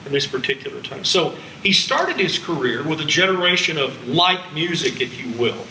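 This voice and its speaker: Male American music lecturer, older, highish forceful voice, dry humor, recorded at a distance in a live room. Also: very animated, moderate pace. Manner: wry, jokey asides. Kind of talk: public lecture with slides. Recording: echoey hall, distant, faint background noise.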